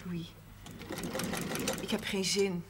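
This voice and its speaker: A woman's voice: on the low side, flat delivery, drawn-out words, heavy background noise. An actress playing a reluctant mother in a naturalistic 1970s TV drama. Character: reluctant mother who gives in softly.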